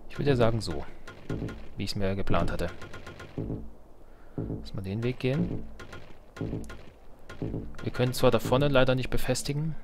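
A man's voice: medium pitch, a little slow, gravelly, pauses often, over loud music. A man gives casual let's play commentary, thinking aloud in a colloquial way with playful asides. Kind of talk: casual game commentary while playing.

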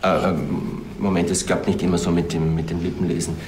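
An actor playing an older cinemagoer who speaks hesitantly and a little testily. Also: male voice, low-pitched, flat delivery, brisk, echoey room, noisy background, natural voice. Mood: flustered, earnest. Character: grey-haired cinemagoer interpreting for his deaf wife.

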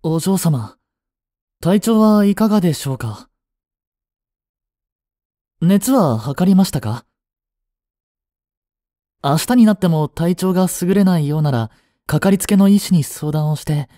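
A man's voice: high-pitched, animated, measured pace, pauses often, mellow, no room sound, studio quality. A man acting a cool, devoted young male butler in a romantic audio drama, formal in manner, jealous and possessive. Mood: apologetic, sulky. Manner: formal, polite to his mistress, curt to rivals. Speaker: voice actor.

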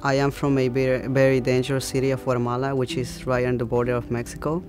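A man's voice: mid-range voice, monotone, fast, music in the background. A young man, a native Spanish speaker, recounting his story plainly in an interview.

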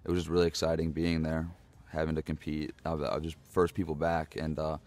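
Very deep, flat-voiced young man. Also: fast. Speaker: monster truck driver. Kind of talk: interview answer.

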